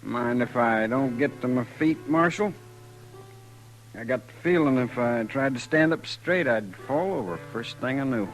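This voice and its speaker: A man's voice: medium pitch, measured pace, natural voice, rough recording. A man with a rural American accent acting a bitter, insolent young drunk, sardonic and drawling, in a 1950s radio western. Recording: old 1950s radio recording, faint music.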